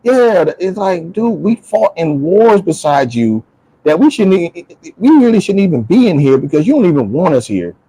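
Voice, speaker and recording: A man's voice: high voice, sing-song, normal speed, mellow, breathy edge, rough recording. Man talking emphatically in casual conversation. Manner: emphatic, repeats phrases for stress. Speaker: talk show speaker.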